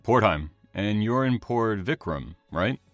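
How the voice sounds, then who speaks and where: An actor playing a polite, reserved, slightly awkward man with formal, measured speech in an audio drama. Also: lowish voice, animated, fairly bright tone, dry recording, soft background music. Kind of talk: naturalistic audio drama acting.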